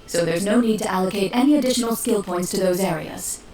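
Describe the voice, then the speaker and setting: An actress playing a calm woman who runs a tabletop game and explains the rules patiently. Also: medium pitch, quick pace, lightly acted. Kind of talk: game voice acting. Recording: distant echoey game audio with music.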